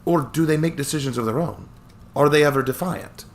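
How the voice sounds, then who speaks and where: A man reading a story aloud and voicing a cheerful, chatty old Scottish male lawyer, raised higher than his own voice, with a lilting Scottish accent. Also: a little fast, slight background noise, lightly acted. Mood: probing.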